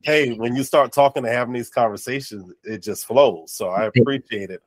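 Man, podcast host, nasal and congested, affirming, dry close mic, mid-pitched. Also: fairly quick, dry recording, poor audio. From North Carolina. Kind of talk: casual interview chat.

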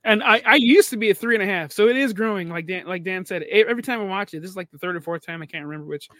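Male podcast co-host, high pitch, crude teasing humor, dry studio sound. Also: rapid-fire, fairly bright tone, dry recording. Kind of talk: casual podcast chat.

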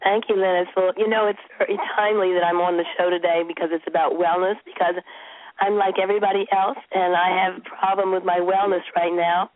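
A woman being interviewed as a guest, her voice croaky from a sore throat. She speaks reflectively and restarts her sentences often. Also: on the low side, little pitch movement, fairly quick, no echo. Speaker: guest author. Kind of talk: interview talk. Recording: tinny phone line.

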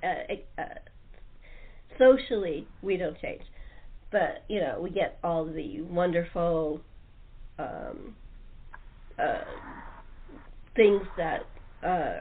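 A woman hosting a casual, informal interview chat about her creative work. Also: lowish voice. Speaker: host, radio-play writer and actor. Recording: muffled phone-line quality.

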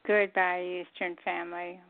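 A woman's voice: lowish voice, little pitch movement, unhurried, no echo. An older woman chatting casually on air as a participant in a radio show. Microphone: telephone call-in. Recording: narrow tinny phone-line sound.

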